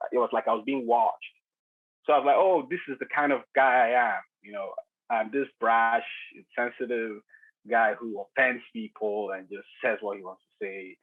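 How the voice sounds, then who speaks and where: A man in his thirties from Nigeria, telling his story as a podcast guest with many restarts and fillers and frequent chuckles. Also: mid-range voice. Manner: chuckles often, hesitant restarts, many "you know" fillers. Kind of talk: casual conversational storytelling. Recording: tinny phone-like line.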